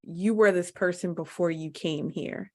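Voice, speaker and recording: A woman's voice: on the low side, a little slow, dark tone. A woman hosting a podcast interview, asking long, searching questions in a conversational way. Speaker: podcast host.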